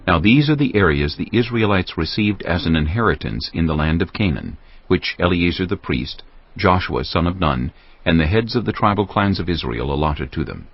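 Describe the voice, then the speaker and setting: A man giving a measured, solemn scripted narration of scripture for an audio Bible. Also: low voice, slightly rough, dull audio. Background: prominent background music.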